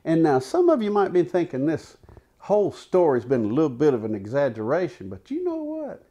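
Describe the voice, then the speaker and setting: A man tells a folksy tall tale, unhurried, with dramatic pauses and repetition. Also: on the high side, huge pitch swings, warm tone. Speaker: storyteller. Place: living room in a house, seated by a fireplace. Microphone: lapel.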